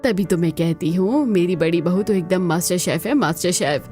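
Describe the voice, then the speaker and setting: A woman's voice: fairly low pitch, normal speed, mellow, soft background music, close to an everyday voice. A woman voicing a demanding, proud middle-aged mother-in-law in a family cartoon, firm and emphatic.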